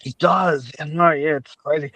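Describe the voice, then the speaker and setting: Chatty guy hosting a podcast, kinda rambly and excited, close dry recording. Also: on the high side, moderate pace, no echo, rough recording. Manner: chatty, rambling, giggly, enthusiastic. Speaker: podcast host and fan-dub maker. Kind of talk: casual chat.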